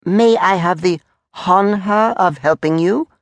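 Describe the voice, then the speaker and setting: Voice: mid-range voice, slow pace, dry recording, voice pushed up. A performer voicing a meek, simple-minded soldier in a storybook, coy and obsequious, with muddled fussy phrasing. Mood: humble, polite.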